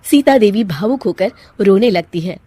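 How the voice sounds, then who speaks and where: A woman's voice: mid-range voice, lively intonation, normal speed, noisy background. A woman reading scripted narration for a cartoon family story.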